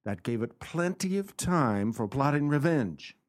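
A man acting in a radio play as a gentleman's loyal, practical companion, speaking in an emphatic, theatrical way. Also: mid-range voice, sing-song, a little slow. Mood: reassuring.

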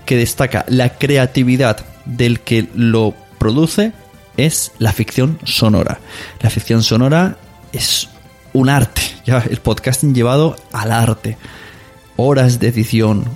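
A man's voice: medium pitch, darkish tone. A man with an accent from Spain hosts a podcast, introducing it in a conversational monologue. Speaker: podcast host.

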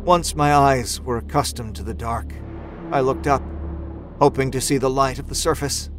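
A man's voice: medium pitch, moderate pace, over music, lightly acted. An actor playing a desperate, exhausted man climbing out of an ice crevasse, telling the horror story in first person with intense drama. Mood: hushed, wary. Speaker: audio fiction narrator. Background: musical score.

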